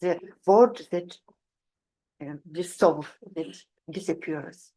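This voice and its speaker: A woman panelist discusses a novel in halting English with non-native grammar. She pauses to search for words, and her voice sits slightly low. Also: dark tone. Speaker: panelist, literary scholar.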